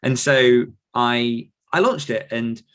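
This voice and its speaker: A man's voice: medium pitch, slow pace, fairly bright tone. A man speaking as a podcast guest, giving reflective, explanatory answers in a conversational interview style. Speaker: podcast guest, author and writing coach.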